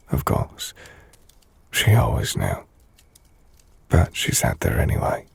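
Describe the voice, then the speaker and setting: Gentle, brooding male vampire noble, acted by a man, ASMR roleplay. Breathy, husky, unhurried and measured, close and intimate. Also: rumbling low, no room sound, heavily acted. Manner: slow, hushed, measured, formal. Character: brooding, gentle, melancholy male vampire-blooded castle lord. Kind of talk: ASMR audio roleplay, intimate scripted monologue.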